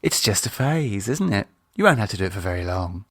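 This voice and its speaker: A man's voice: lowish voice, very animated, fairly quick, dry recording. A man acts out a middle-aged British wife and mother in an audiobook, grumbling and sardonic with a flirty edge. The intonation swings animatedly, and the sound is dry and close. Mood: sarcastic.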